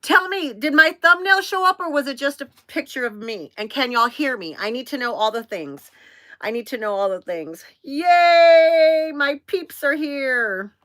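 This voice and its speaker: A woman of 57, hosting a casual live stream in an excitable, scattered, bubbly way and chatting to viewers. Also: high voice, measured pace. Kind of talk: casual chat, greeting viewers by name.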